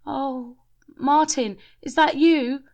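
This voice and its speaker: A woman voicing a distraught, hysterical widow in a spoken story, shrill and frantic. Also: steady tone, slow pace, darkish tone. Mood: longing.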